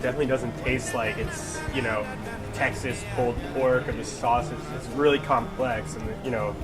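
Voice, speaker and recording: A man's voice: mid-range voice, steady tone, moderate pace, loud music behind the voice. A man hosting a food show, moving between scripted narration and casual interview talk.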